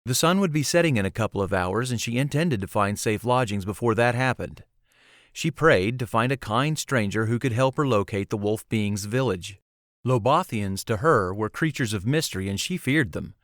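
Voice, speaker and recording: A man's voice: fairly low pitch, lively intonation, normal speed, no echo, clean studio sound. A man narrating a scripted fantasy story as an audiobook narrator. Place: close, dry recording space.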